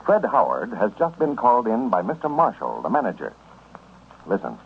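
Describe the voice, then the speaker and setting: A male radio announcer in 1930s style. His mid-pitched voice swings in a very lively way through scripted copy. The recording is tinny and noisy. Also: normal speed.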